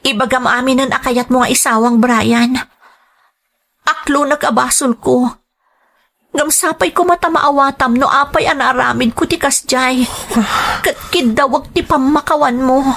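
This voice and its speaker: Woman acting a guilty young friend in an audio drama, high voice, apologetic and pleading. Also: normal speed, a bit bright.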